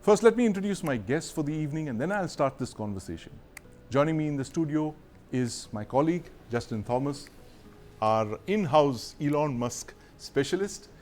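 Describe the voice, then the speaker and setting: A man's voice: on the high side, lively intonation, moderate pace, a little mellow, faint music behind. A man anchoring a studio news show, asking formal questions.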